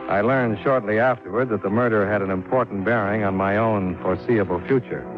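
An actor playing a cool, businesslike insurance investigator in a 1950s radio drama, with clipped, matter-of-fact delivery. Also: male voice, on the low side, a little fast, lightly acted. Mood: brisk, businesslike. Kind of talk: 1950s radio drama acting with first-person narration. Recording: dull 1950s radio recording, music behind.

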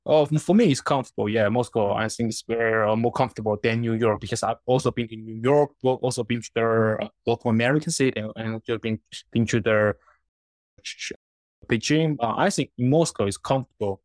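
A young man from China with Chinese as his first language, answering interview questions haltingly, often restarting words. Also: medium pitch, fairly even intonation, dry recording. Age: 20s. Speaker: guest.

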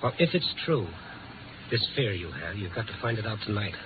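An actor playing a tough, steady newspaper reporter in a 1950s radio drama, clipped and firm, on an old recording. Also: male voice, lowish voice, brisk, noisy background. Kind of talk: 1950s radio drama acting and first-person narration. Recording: tinny old radio recording, music behind.

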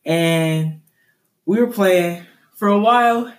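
Higher-voiced young guy telling stories. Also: slow pace. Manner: rambling, self-correcting. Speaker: podcast co-host. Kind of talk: casual storytelling.

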